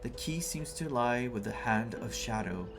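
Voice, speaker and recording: A man playing a serious, reserved and loyal young traveling companion in a video game, speaking in a measured, earnest, restrained way. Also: mid-range voice, expressive, natural voice. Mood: grave, resolute. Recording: game music under the voice.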